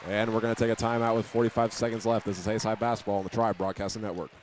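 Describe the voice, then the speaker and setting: A man doing live play-by-play commentary of a high school basketball game as the announcer. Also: flat delivery, rapid-fire, a little mellow, some chatter behind. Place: indoor high school gymnasium. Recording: noisy gym ambience behind.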